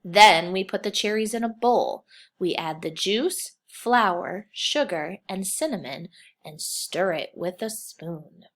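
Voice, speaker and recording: A woman's voice: medium pitch, slow pace. A woman reading a children's picture book aloud as a kindergarten teacher, expressively, with simple phrasing and questions put to young listeners.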